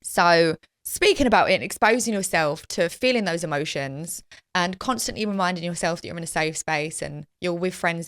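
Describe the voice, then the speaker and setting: A young woman hosting a podcast, talking in a chatty, animated, self-deprecating way. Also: fairly low pitch, no echo, clean studio sound. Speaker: podcast host. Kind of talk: casual chat.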